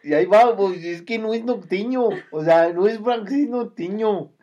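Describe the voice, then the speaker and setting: A man's voice: high-pitched, moderate pace, warm tone, dull audio. A man with a Mexican accent in casual chat as a podcast co-host, teasing and jokey.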